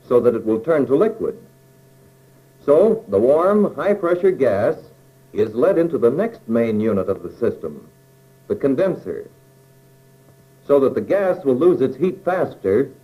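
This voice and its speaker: An American man narrates an instructional film in a mellow, relaxed voice at a middle pitch. His intonation moves up and down in a lively way as he explains things step by step in plain, measured phrases. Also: low-quality recording.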